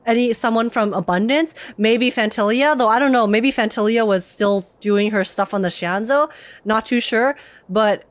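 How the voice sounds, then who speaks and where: A woman's voice: fairly high pitch, moderate pace, no room sound. A young woman reacting to a game on stream, chatting casually in a playful, giggly way.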